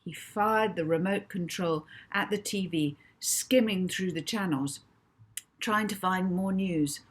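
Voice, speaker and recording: A woman's voice: lowish voice, slow, slightly rough. A woman narrating a children's novel as its author, in scripted audiobook style. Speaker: author reading own novel.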